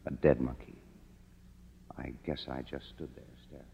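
A man's voice: a bass voice, little pitch movement, normal speed, creaky, mellow, a little hiss or hum. An actor playing a sardonic, down-on-his-luck screenwriter in a 1950s radio drama, talking colloquially. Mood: uneasy narration. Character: wry, down-on-his-luck male Hollywood screenwriter. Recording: dull old radio, long reverb.

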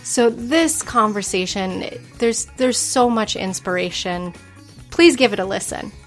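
A woman's voice: mid-range voice, measured pace, faint music behind. A woman hosting a podcast, introducing her guest and chatting with her in an enthusiastic, eager way. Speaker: podcast host. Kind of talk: casual interview chat.

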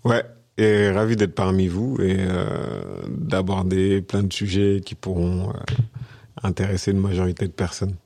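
A deep-voiced man in his forties from Senegal, talking casually and hesitantly, with many pauses and restarts. His tone is dark and mellow, and the sound is dry and close. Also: animated, gravelly, dry recording. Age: 40s. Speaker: podcast guest, business consultant. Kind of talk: casual interview conversation.

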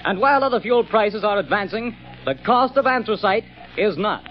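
A man working as an announcer, reading a 1940s radio commercial with polished, measured salesmanship. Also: high-pitched, expressive. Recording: dull old radio recording.

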